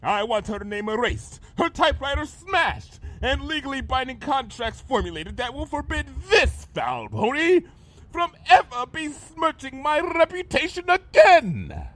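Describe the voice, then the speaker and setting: A man's voice: very high-pitched, huge pitch swings, measured pace, fairly bright tone, full character voice. A man playing a vengeful monster villain in an audio story, grandiose and menacing, with pompous, florid melodrama. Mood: raging. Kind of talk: dramatized fan fiction audio reading.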